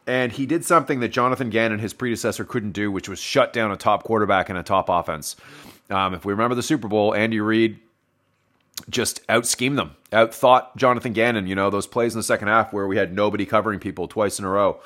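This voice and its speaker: Hoarse, wheezy man. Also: fairly low pitch, fairly even intonation, fast talker, a bit bright. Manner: rambling, self-correcting, addresses listeners as folks. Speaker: sports podcast host. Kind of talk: solo game review.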